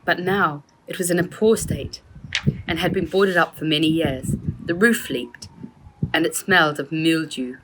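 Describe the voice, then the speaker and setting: A woman's voice: low voice, unhurried, a bit bright, a little hiss or hum, rough recording. A woman narrating a children's storybook as the author reading her own work.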